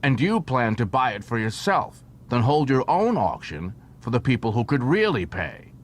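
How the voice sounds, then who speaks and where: A man's voice: sing-song, normal speed, lightly acted. Man acting stern, formal station constable. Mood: stern, probing. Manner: formal, clipped interrogation. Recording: noisy track with low rumble.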